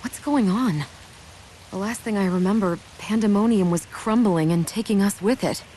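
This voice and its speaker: An actress playing a composed, observant crew member in a game, speaking seriously. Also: female voice, mid-range voice, moderate pace, mellow, slight background noise, natural voice. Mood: pensive. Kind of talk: English game dub cutscene.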